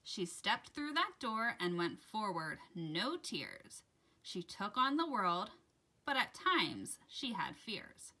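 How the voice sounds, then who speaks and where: A woman reads a children's story aloud with a lively, swooping pitch and an unhurried pace. Her delivery is dramatic and deliberate, shaped for young listeners, with a bright, clear-cut edge to the tone. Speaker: children's story time host and reader. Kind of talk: expressive read-aloud of a rhyming children's picture book.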